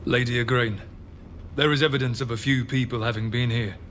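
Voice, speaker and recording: A man's voice: medium pitch, fairly even intonation, fairly quick, fairly bright tone, slight background noise, natural voice. A man playing a dutiful knight reporting to a superior in a game drama, formal and deferential. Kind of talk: dramatic video game cutscene acting. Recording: faint game ambience behind the voice.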